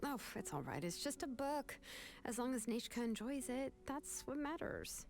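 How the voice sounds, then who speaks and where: A woman's voice: a little high, very animated, moderate pace, gravelly, darkish tone. A woman playing an easygoing, kind and thoughtful young woman in an anime game, reassuring and considerate. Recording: faint music behind the voice.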